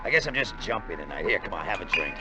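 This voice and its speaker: An actor playing a bitter, short-tempered, broke American gambler in a hard-boiled 1950s radio drama, tough and slangy. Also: male voice, low-pitched, big pitch swings, quick pace. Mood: irritable, jumpy. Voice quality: tough, slangy, curt delivery. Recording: dull vintage radio recording, music behind.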